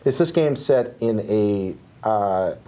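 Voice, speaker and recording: Lower-pitched man thinking aloud. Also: lively intonation, takes it slowly, dark tone. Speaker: podcast panelist. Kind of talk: casual panel chat, guessing game.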